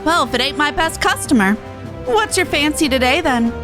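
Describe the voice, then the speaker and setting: Woman doing a folksy, high-pitched shrewd game merchant. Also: expressive, moderate pace, music in the background. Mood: folksy, sly. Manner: folksy, colloquial.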